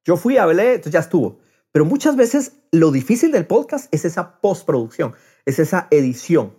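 A man with a fairly high, husky voice chats casually on a podcast. His intonation swings widely, and he repeats words eagerly as he works through his thoughts out loud. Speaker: podcast host.

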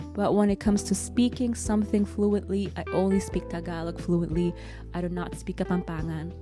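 A woman hosting a solo podcast, talking in an earnest, reflective and encouraging way. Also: lowish voice. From Germany. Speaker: podcast host.

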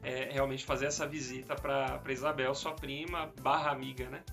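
A man's voice: medium pitch, little pitch movement, normal speed, creaky, fairly bright tone. A Brazilian man co-hosting a podcast, discussing religion casually in an informal, slangy way, repeating phrases for emphasis. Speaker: podcast co-host. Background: music under the voice.

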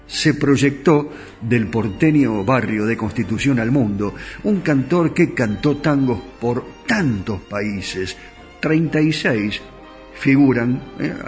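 A middle-aged man with an Argentine accent hosting a radio show in a studio, telling tango history in a chatty way and asking his listeners questions. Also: mid-range voice. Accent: Argentine Spanish. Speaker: radio host. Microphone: studio microphone.

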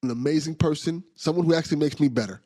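Excitable man hosting a podcast, husky. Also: fairly high pitch, fast, no room sound. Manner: enthusiastic, laughs often, repeats words. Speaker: podcast host. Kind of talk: casual podcast chat.

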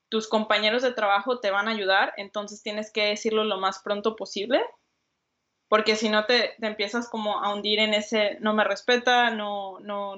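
A woman's voice: mid-range voice, fairly bright tone, muffled recording. A young Mexican woman, a designer, answering interview questions casually with many fillers and restarts. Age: young adult. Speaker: designer, guest.

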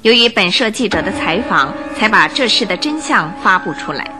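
A woman's voice: mid-range voice, lively intonation, moderate pace, over music. A woman narrating a radio drama, reading scripted story and news reports.

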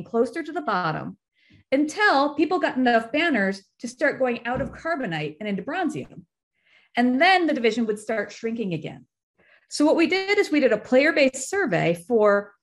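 A woman with a fairly high voice speaks as a podcast guest. She explains things in a methodical, detailed way, going step by step in an interview style.

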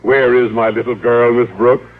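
Tinny old radio, actor as courtly, hesitant suitor. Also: male voice, medium pitch, little pitch movement, moderate pace, close to an everyday voice. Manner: genteel, hesitant, formal. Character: courtly, earnest, easily flustered butcher suitor. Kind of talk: 1950s radio sitcom acting.

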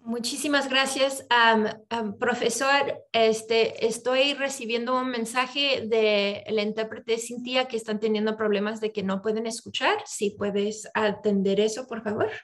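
A woman's voice: fairly high pitch, fairly even intonation, measured pace, few pauses, a bit bright. A woman attorney giving an online seminar, switching back and forth between English and Spanish with frequent ums. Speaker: immigration attorney and presenter. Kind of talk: bilingual online seminar presentation. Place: home video call. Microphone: video call microphone.

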